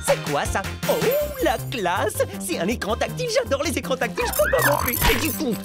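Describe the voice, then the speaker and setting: A scheming, excitable little boy blob from a teen cartoon. The voice is high and leaps all over in exclamations, breaking into stammers when cornered. Loud music plays underneath. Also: fairly quick. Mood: excited, eager. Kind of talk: animated cartoon dub for teens.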